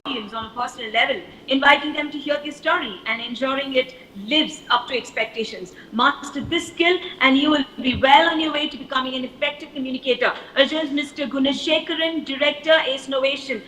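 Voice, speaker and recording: A woman's voice: high voice, brisk, hardly any pauses, bright, forceful tone. A woman introducing a speaker in formal, read-out words. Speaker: event host. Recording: echoey room, faint hiss.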